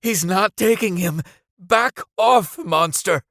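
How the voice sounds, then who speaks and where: A man's voice: high voice, slow, no echo, studio quality, pitched above the performer's own voice. A man voicing a brave, feisty young girl in a storybook tale, speaking boldly and urgently. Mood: urgent, defiant. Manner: bold, loud, urgent.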